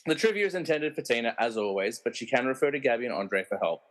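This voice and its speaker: A man's voice: medium pitch, expressive, fast talker. A man narrating a comic podcast radio play and hosting its quiz with a tongue-in-cheek manner. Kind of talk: scripted comic narration with casual quiz banter.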